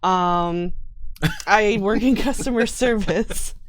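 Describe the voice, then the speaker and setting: A woman's voice: mid-range voice, takes it slowly, no room sound. A woman chatting casually as a show guest, playful and joking with a self-deprecating streak. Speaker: show guest, cast member. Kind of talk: casual chat.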